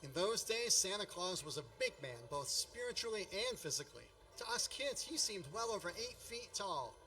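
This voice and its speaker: A man's voice: high voice, sing-song, moderate pace, bright, forceful tone, a little hiss or hum, exaggerated voice. A man playing a grown-up narrator in a radio play, wryly and grandly recalling his boyhood in mock-epic style.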